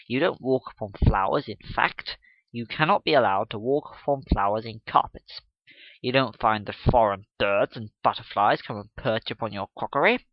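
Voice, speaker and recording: A pompous, domineering official of a gentleman, acted out by a male reader, hammering home his points like law. He lectures and questions emphatically as a storybook character, in a dry, close sound that is slightly dull. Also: mid-range voice, no room sound, lightly acted. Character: loud, domineering gentleman official obsessed with fact.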